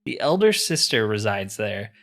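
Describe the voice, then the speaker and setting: A man's voice: fairly low pitch, very animated, moderate pace, fairly bright tone. Man voicing a stern stronghold warrior guard. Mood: stern, warning.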